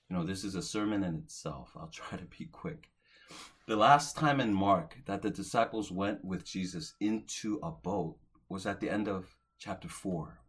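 A man in his forties preaching a sermon as a pastor, earnest, lifting to emphatic peaks and then dropping soft at the ends of phrases. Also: sits low, husky. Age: 40s.

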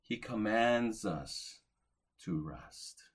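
A man in his forties preaching a sermon as a pastor, earnest, lifting to emphatic peaks and then dropping soft at the ends of phrases. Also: lowish voice, expressive, very slow, breathy edge. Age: 40s.

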